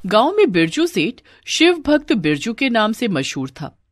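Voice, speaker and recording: A woman's voice: a little high, very animated, moderate pace, background noise. A woman narrating a scripted moral story.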